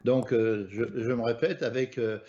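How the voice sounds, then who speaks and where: A man's voice: mid-range voice, fairly even intonation, moderate pace, dark tone. French guy giving an astrology class online, keeps stumbling on words, dull lo-fi sound. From France. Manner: hesitant, repeats words often. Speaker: astrology teacher. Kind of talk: live online lesson.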